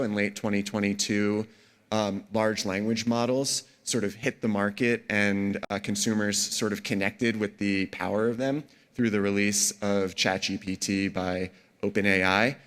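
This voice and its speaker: Low-voiced man giving a conference talk. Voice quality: a bit congested. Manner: energetic, many "um"s and restarts. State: under the weather, has a cold. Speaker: conference speaker.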